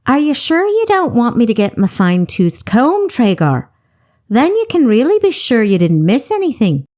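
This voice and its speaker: A woman's voice: a little high, huge pitch swings, moderate pace, a little mellow, pitched above the performer's own voice. A woman voicing a weary, defiant female sailor with an exasperated, sarcastic manner in an audiobook. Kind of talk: audiobook character voice.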